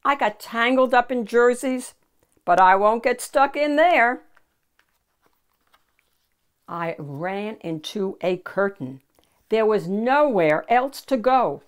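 An older woman reads a children's picture book aloud in an animated, deliberate storyteller's manner. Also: a little high, smooth. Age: older, 60s or 70s. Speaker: children's storybook reader and host.

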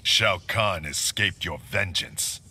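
An actor playing a grim, vengeful ninja warrior in a video game, with terse, menacing, weighty delivery. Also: male voice, low-pitched, takes it slowly, pushed and bright, slight background noise, full character voice. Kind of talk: scripted video game fight dialogue.

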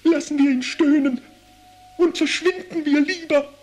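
A man voicing a timid, grumbling grand vizier turned stork, hesitant and excitable, in a children's puppet play. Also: very high voice, unhurried, slight background noise. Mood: nervous grumbling. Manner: hesitant, excitable, comically fretful. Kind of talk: German children's puppet theatre acting.

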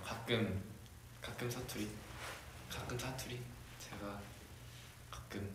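A young man chatting casually on a livestream from a decorated room, musing aloud, answering fan comments and laughing often. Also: lowish voice. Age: young adult. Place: decorated room, indoors, at a table. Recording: very echoey room, faint background hiss.

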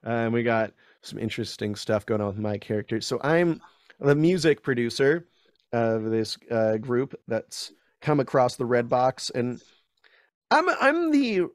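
A man's voice: medium pitch, animated, measured pace, no room sound. Young male actor, casual interview guest, talks in loose restarts, dry close-miked sound. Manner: chatty, rambling, frequent restarts and fillers. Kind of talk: casual interview chat over video call.

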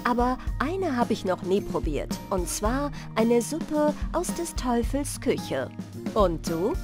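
A woman in her thirties narrates a bedtime fairy tale in a fairly high voice. She takes her time and moves animatedly through her pitch, close to the microphone. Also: music in the background. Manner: unhurried, expressive storytelling. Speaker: audiobook reader and channel host. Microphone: large studio microphone, close.

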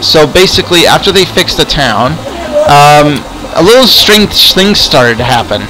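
A man chatting casually in improvised game roleplay, rambling and often repeating words haltingly. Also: a little high, lively intonation, poor audio. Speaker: host and player in a roleplay game video. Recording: music behind the voice. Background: music.

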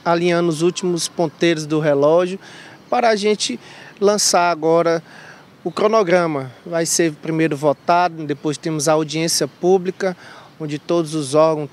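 A man in his thirties, a state deputy, giving a brisk statement outdoors into a reporter's handheld mic. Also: fairly high pitch. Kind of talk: street interview. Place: outdoors by a palace.

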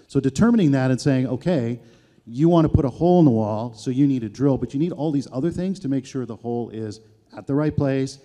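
A man's voice: medium pitch, mellow. A man on a conference panel, explaining ideas patiently through simple examples. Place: conference stage with audience. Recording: reverberant event hall.

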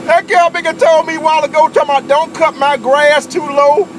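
Southern male CB operator, very high-pitched, animated and teasing, repeats his lines, clear radio noise. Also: brisk. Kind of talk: bantering CB radio chat. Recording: very noisy CB radio transmission.

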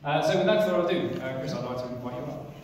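A man's voice: on the high side, quick pace, heavy background noise. A man hosting an event, introducing the next speaker in a hesitant way with many fillers. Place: lecture hall, indoors. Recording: echoey lecture hall from far off.

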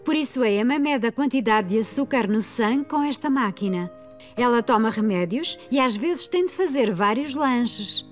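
A woman's voice: fairly high pitch, expressive, normal speed, faint music behind, lightly acted. A woman voicing a kind, patient preschool teacher in a children's cartoon dub, with a European Portuguese accent. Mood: explaining.

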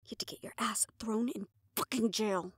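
A woman's voice: mid-range voice, steady tone, moderate pace, slightly rough, no room sound. Woman acting a stressed, sweary mafia assistant, close and dry. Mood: anxious, muttering. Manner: exasperated, clipped, heavy swearing, sighs. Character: stressed, foul-mouthed, fiercely loyal female mafia assistant. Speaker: audio roleplay voice actor. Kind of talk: dramatic audio roleplay, close solo performance.

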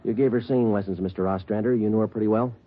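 An actor playing a terse, deadpan male police sergeant in a 1940s radio drama. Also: lowish voice, rapid-fire, natural voice. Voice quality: clipped, deadpan. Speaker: police detective. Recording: tinny 1940s radio recording.

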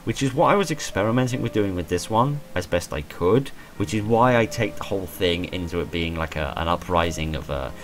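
A man in his twenties with a hoarse voice, talking in a rambling, self-deprecating way about his channel and stumbling over repeated words from a small room at home. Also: low voice, animated, fairly quick, hardly any pauses, slight background noise. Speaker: channel creator. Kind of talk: rambling personal monologue.

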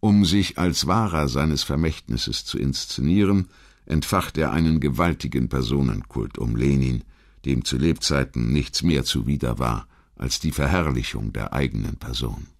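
A man reading scripted historical narration in a measured, articulate and formal manner. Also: rumbling low. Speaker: documentary narrator.

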